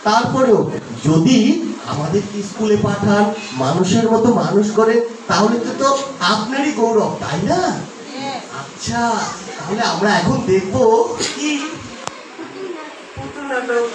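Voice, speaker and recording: A puppeteer plays a playful eight-year-old boy puppet who teases and coaxes the audience with questions. The voice is echoey and sits back from the mic, with a clearly audible live crowd around it. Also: medium pitch, expressive, a little slow, pauses often, lightly acted. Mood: cheeky. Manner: coaxing, question-asking, addresses the crowd directly. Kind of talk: live puppet show patter addressed to children. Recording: distant, echoey, noisy live crowd recording.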